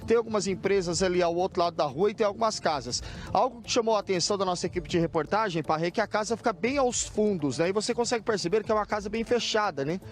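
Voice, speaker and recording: A man's voice: high-pitched, fast talker, hardly any pauses. A Brazilian man reporting live for TV news from a city street, describing the scene as he points things out. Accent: Brazilian Portuguese. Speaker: field reporter.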